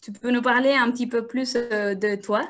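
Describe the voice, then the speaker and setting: A woman with a fairly high voice hosts a live interview. She reads through a guest's biography haltingly, dropping in fillers as she goes. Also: steady tone. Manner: halting, frequent hesitation fillers.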